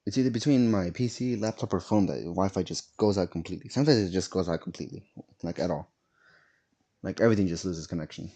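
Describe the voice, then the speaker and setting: Young male streamer; very low, mellow voice, casual rambling chat. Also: fast talker. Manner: casual, rambling and easily annoyed. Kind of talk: casual gameplay commentary.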